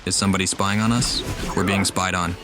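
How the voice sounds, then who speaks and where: A man's voice: low-pitched, fairly even intonation, a little fast. A man playing a game and commenting wryly, talking back at the characters. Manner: suspicious, wry, talks to the characters. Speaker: gamer. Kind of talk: let's play commentary. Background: game music.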